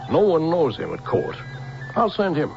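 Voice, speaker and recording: An elderly robot scientist in a radio play. His delivery is very animated in pitch, halting and wary, stubborn when cornered. The old recording is dull, with faint music. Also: vocal fry, heavily acted. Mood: evasive, nervous. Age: older. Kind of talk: 1970s radio drama acting.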